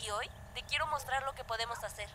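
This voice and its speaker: A woman's voice: high voice, quick pace, bright, forceful tone, a little hiss or hum. An actress playing a determined, inquisitive young woman in a radio drama, earnest in manner. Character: determined, earnest teenage daughter and young community interviewer.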